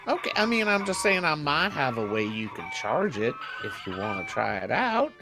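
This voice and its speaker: A man's voice: fairly high pitch, big pitch swings, moderate pace, over music. A man playing a bookish, fussy wasteland hermit in an improvised game roleplay, hesitant and folksy, rambling and repeating himself. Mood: helpful, wary.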